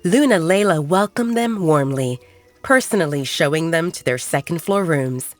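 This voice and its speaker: A woman's voice: sits low, animated, moderate pace, no room sound, faint music behind. A woman reading scripted fiction as an audiobook narrator.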